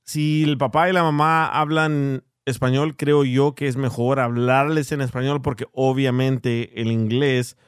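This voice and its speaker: A man's voice: medium pitch, fairly even intonation, unhurried, hardly any pauses, smooth, no echo, studio quality. A man chatting casually as a co-host. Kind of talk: casual chat.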